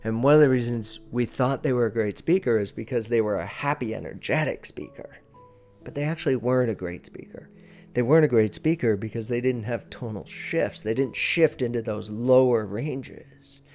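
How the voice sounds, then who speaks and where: A man's voice: mid-range voice, moderate pace, a little mellow, soft background music. Male presentation coach, emphatic and instructive. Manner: emphatic, repeats key phrases for stress. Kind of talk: informal instructional talk.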